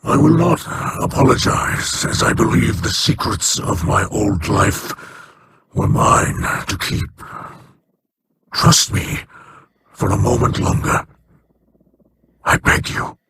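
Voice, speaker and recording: An actor playing an aging male alien pirate chieftain, remorseful and guarded, speaking in a slow, halting, formal way with a breathy, hissing rasp. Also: fairly low pitch, lively intonation. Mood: pleading.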